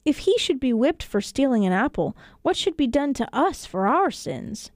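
A woman voicing a kindly, pious old cobbler man who admonishes gently and earnestly in a storybook reading. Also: high-pitched, sing-song, moderate pace, dry recording, clean studio sound.

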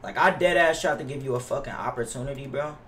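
A man's voice: medium pitch, fast, a bit bright, recorded from a distance. A young man chatting casually on a live game stream, slangy and profane, often complaining. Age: young adult. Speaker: livestreamer playing a basketball video game. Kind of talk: casual live stream chat and gameplay reaction. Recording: roomy echo, off-mic, noisy with keyboard clicks.

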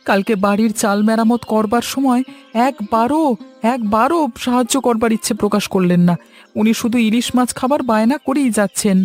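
A woman's voice: on the high side, fairly quick, darkish tone, close to an everyday voice. A woman playing an earnest, fed-up village boy in a cartoon story, with a complaining manner. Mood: weary complaint. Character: village boy, weary grandson fed up with guest. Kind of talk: Bengali cartoon story voice acting. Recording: music behind the voice.

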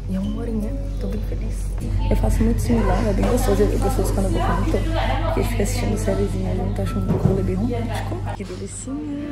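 A woman's voice: medium pitch, fast, over loud music, over a noisy crowd. A young woman with a Brazilian Portuguese accent, chatting and joking to her phone camera while she travels outdoors. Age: young adult. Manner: chatty, joking, self-mocking asides. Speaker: travel vlogger. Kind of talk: casual travel vlog to camera. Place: outdoors on tropical streets and a beach club. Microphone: phone camera held at arm's length.

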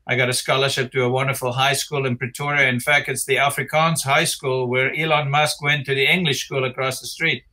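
A bright, clear-toned man in his sixties with a South African accent talks over a video call from a home office. He rambles in a relaxed way, leaning on fillers and restarting his sentences. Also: medium pitch, a little fast, hardly any pauses. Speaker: interview guest, retired tennis player. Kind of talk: casual interview chat. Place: home office with framed pictures.

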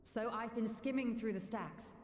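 An actress playing a proud, determined and wry scholar-sorceress in a fantasy game, speaking with composed, formal, old-fashioned phrasing. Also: female voice, on the high side, normal speed, echoey room, in a large hall, natural voice. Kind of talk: scripted fantasy game voice acting.

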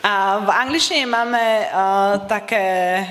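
A woman in her thirties interpreting a sermon phrase by phrase in a measured way. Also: medium pitch, a bit bright. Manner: measured, follows each phrase steadily. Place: church hall. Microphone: stand mic. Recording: reverberant church hall.

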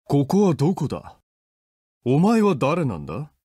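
An actor voicing a grandiose, imperious demon lord who styles himself a dark prince in a game. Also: male voice, fairly high pitch, wildly swooping pitch, measured pace, music in the background. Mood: puzzled, haughty.

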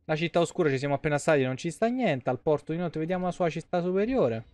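A man's voice: on the high side, expressive, quick pace, a little mellow, no echo. A man in his thirties with a central Italian, Roman accent, giving casual live gameplay commentary in a colloquial, salty-tongued way, thinking aloud. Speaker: game streamer, host. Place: indoor streaming setup at home.

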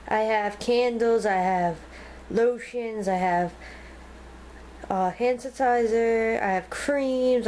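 A woman filming a casual tour of her home and naming each item as she goes, pausing and restarting often. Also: on the high side, a little mellow, a little hiss or hum. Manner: halting, with frequent ums and restarts. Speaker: vlogger giving a home decor tour. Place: inside a home, walking room to room. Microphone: handheld camera held by the speaker.

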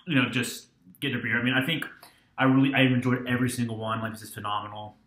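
A man's voice: mid-range voice, little pitch movement, quick pace, a bit bright, reverberant. A young man in his twenties casually reviewing a beer, rambling with lots of ums and restarts, filmed on a webcam at a desk in a small room. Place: small dorm-like room indoors.

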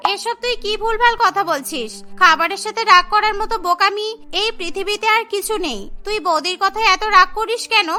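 Woman voicing a very high, concerned young sister. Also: moderate pace, bright, forceful tone, faint music behind. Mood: chiding. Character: concerned young sister, scolding but affectionate. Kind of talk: cartoon dialogue.

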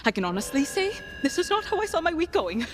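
A woman's voice: very high-pitched, sing-song, fast talker, background noise. A woman playing an anxious, awkward young scientist in a video game, earnest and given to nervous rambling.

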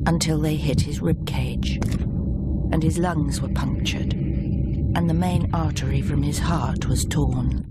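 Slow, low-voiced sombre woman narrator, noisy. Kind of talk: sombre scripted safety ad narration. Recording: very noisy archive TV soundtrack.

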